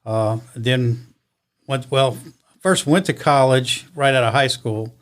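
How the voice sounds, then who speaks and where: A middle-aged man speaks as a guest on a podcast. He answers calmly and briefly, with a dry, understated delivery. His voice sits at a middle pitch for a man. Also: slow. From born in Italy, raised in the US. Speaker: guest, criminal defense lawyer. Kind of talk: casual interview answers.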